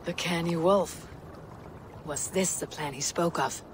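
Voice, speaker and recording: A man's voice: on the high side, measured pace, background noise, close to an everyday voice. A man playing a stern, loyal Viking warrior in a video game, blunt and solemn. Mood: wary. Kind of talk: dramatic video game acting.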